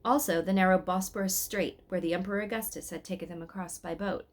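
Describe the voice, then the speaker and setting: A woman reading a children's story aloud in an expressive style. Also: fairly low pitch, quick pace.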